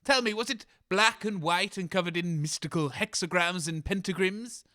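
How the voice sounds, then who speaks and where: A man's voice: high-pitched, normal speed, pushed and bright. A man co-hosting a comedy radio show, trading banter in character.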